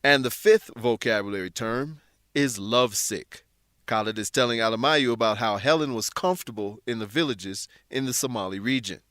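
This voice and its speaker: A man with a mid-pitched voice presents a scripted English lesson. He explains terms in a deliberate, teacherly way. The recording is dry, with hardly any room sound. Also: fairly bright tone, no echo. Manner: instructional, deliberate word-by-word explanations. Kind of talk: scripted educational narration.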